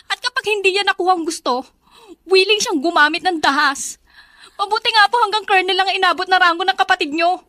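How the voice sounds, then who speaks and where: A woman's voice: very high voice, fast, bright, forceful tone. A Filipino woman playing a resentful, outspoken young niece in a radio drama, emphatic and indignant.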